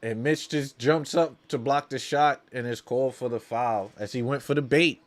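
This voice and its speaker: A man's voice: mid-range voice, normal speed. A man hosting a watch-along, calling basketball live as a partisan fan in slangy talk, often repeating phrases for emphasis.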